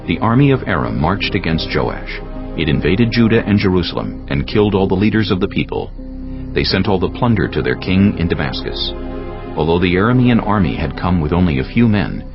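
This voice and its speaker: A man with a gravelly voice giving measured, solemn narration for a dramatized audio Bible. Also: low-pitched, very animated. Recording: dull audio with soft music behind. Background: soft background music.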